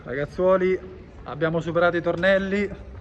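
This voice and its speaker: A man in his twenties chatting excitedly as a football fan vlogger, slangy and repeating phrases for emphasis. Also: high voice, normal speed, muffled recording. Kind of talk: excited vlog chat and live reaction. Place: sponsor segment in a room at home, then a busy football stadium. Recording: music and crowd noise behind.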